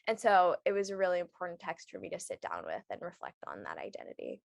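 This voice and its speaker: Earnest young woman with vocal fry. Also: lowish voice, wildly swooping pitch, fast, dry recording, clean studio sound. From New York City. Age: young adult. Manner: earnest, reflective. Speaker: program alumna. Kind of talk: reflective personal testimony.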